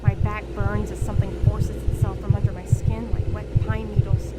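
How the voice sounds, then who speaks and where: An actress playing a weary, defiant fast-food worker turning into a werewolf, narrating a horror audio drama with brooding intensity. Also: female voice, mid-range voice, flat delivery, very noisy, natural voice. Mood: strained, hungry. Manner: intense, brooding, literary storytelling. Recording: loud music bed behind voice.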